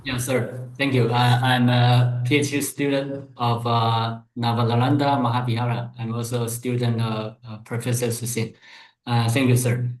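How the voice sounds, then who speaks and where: A polite, hesitant man from Taiwan speaks up in a webinar, stopping often to search for words. His mid-pitched voice comes over a video call with a roomy echo around it. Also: flat delivery, moderate pace. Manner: hesitant, polite, many fillers. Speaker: PhD student asking a question. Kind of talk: webinar question.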